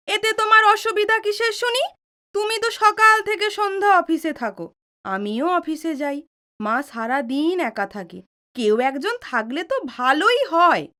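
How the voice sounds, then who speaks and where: A woman's voice: sits high, bright, forceful tone, full character voice. A woman playing an outspoken, teasing young daughter who argues impatiently, in an audio drama. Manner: argumentative, impatient, cheeky. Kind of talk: Bengali audio drama acting.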